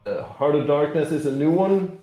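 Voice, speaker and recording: A man's voice: a little high, moderate pace, dark tone. A man in a casual interview explaining a tabletop game in a rambling, loosely hedged way. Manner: rambling, explanatory, hedging with "kind of". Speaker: game publisher representative being interviewed. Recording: roomy, echoey sound.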